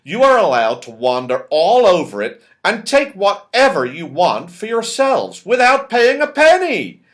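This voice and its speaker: Jolly storybook elder, enthusiastic, slow and lilting from a male narrator. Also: high voice, huge pitch swings, a bit bright, pitched above the performer's own voice, full character voice. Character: cheerful old moon-faced magical man.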